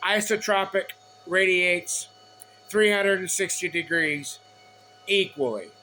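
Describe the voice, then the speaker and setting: High, bright-voiced man explaining over background hum. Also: poor audio. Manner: halting, repetitive, reads in short phrases. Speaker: explainer of radio antenna measurements. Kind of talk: informal explainer reading from notes.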